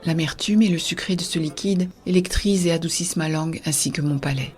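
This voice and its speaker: A woman playing an earnest, idealistic young-hearted believer in a scripted science-fiction audio drama, with a husky, soft delivery. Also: low-pitched, fast talker, lightly acted. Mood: hushed, pensive. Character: Béa, earnest idealistic woman defending a shared-consciousness network. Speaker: voice actor narrating in first person.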